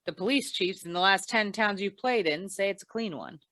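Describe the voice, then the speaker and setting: A woman playing a wry, deadpan female insurance investigator in old-time radio noir style. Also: mid-range voice, moderate pace, no room sound, natural voice. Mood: dry, probing. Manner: deadpan, wisecracking.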